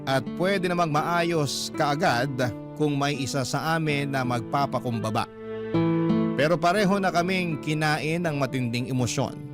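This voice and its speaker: A man's voice: mid-range voice, natural voice. A man reading a first-person letter as scripted narration in a radio drama. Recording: guitar music under the voice. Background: plucked guitar music.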